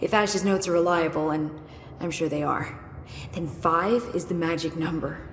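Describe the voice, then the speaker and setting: An actress playing a witty, curious young research scientist in a video game, explaining things in a precise, wry, chatty way. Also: female voice, low voice, fairly even intonation, normal speed. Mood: chatty, playful. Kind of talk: video game dialogue acting. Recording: echoey hall reverb with noisy game ambience.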